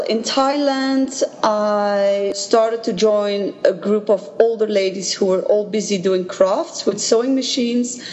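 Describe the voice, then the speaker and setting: A woman from Belgium, a native Dutch speaker, recounting her experiences as an interview guest in a measured, earnest way. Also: medium pitch, darkish tone, slight background noise. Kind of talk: personal recollection in an interview. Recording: dull sound with long reverb.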